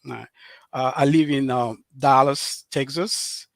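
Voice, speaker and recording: A middle-aged man from Cameroon with a Cameroonian French accent, a guest on a live show talking earnestly and haltingly, with many fillers and restarts. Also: mid-range voice, fairly even intonation, gravelly, dry recording, clean studio sound. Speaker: author and guest.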